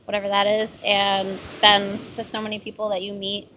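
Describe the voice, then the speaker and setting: A woman's voice: medium pitch, monotone, normal speed, bright, forceful tone, a little hiss or hum, poor audio. An American woman from Boston chatting casually as a guest on a panel. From Boston, United States. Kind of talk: casual chat.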